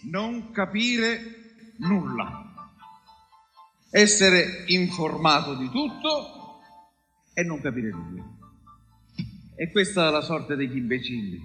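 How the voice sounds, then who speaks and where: A man's voice: on the high side, sing-song, slow pace, pauses often, sharp tone. A man giving an emphatic, dramatic theatrical recitation in an echoey hall. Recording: echoey hall, long reverb, faint music. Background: faint music.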